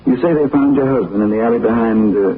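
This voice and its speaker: An actor playing a refined gentleman detective in a 1940s radio drama, with clipped, polished diction. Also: medium pitch, fast talker, lightly acted. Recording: scratchy, tinny 1940s radio broadcast.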